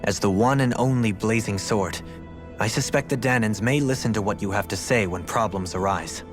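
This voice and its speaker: A male actor plays a courteous young nobleman from a game drama. His voice sits low, and he speaks in a formal, measured, solemn way. Music plays clearly behind him. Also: close to an everyday voice. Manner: formal, measured, tense when asking favors. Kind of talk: dramatic video game cutscene acting.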